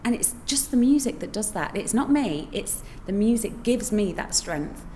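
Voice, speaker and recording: A woman's voice: medium pitch, animated, moderate pace, mellow, a little hiss or hum. A woman who acts in pantomime, speaking expressively and reflectively in an interview. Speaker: pantomime actor playing villains.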